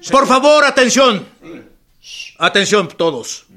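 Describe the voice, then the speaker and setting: High-pitched general addressing a rowdy assembly. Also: male voice, very animated, slow, exaggerated voice. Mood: declamatory. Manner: declamatory, formal public speaking. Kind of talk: radio drama acting. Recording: crowd noise behind the voice.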